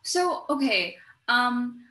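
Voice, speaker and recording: Bright-voiced chatty woman hosting, roomy sound. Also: on the high side, big pitch swings, very slow. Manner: chatty, rambling, self-interrupting. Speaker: interview series host. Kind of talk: casual conversational interview.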